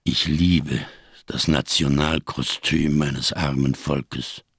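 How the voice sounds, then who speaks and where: A ghostly, unbowed giant of a fugitive in a radio crime drama. The voice is extremely deep, creaky and dry, with slow, elaborate, over-careful phrasing and a grave temper. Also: male voice, steady tone, fairly bright tone, no room sound, exaggerated voice. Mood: solemn, wry.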